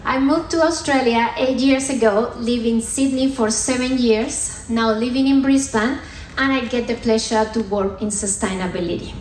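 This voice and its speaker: A woman's voice: a little high, normal speed, a little mellow, a little hiss or hum. A woman in her thirties from Colombia with a Colombian accent, giving a playful stand-up style science talk on stage and teasing the audience. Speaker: sustainability manager giving a comedy science talk. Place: theatre stage at a comedy festival. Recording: roomy hall with faint ambience.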